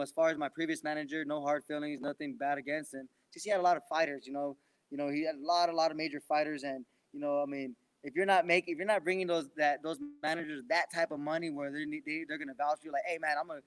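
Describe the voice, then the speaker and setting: A man's voice: fairly high pitch, dry recording. A young man in his twenties, a boxer, answering interview questions in a loose, rambling way with many restarts. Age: 20s. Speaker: professional boxer.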